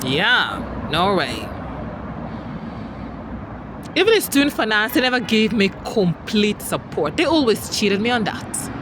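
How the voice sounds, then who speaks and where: Namibia-born vlogger ranting solo, really animated and emphatic, loud car noise all over it. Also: mid-range voice, a little slow, long pauses. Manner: aggrieved, emphatic, punctuates with "yeah?" and "man". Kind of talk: personal monologue to camera or mic. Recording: loud outdoor traffic and vehicle noise.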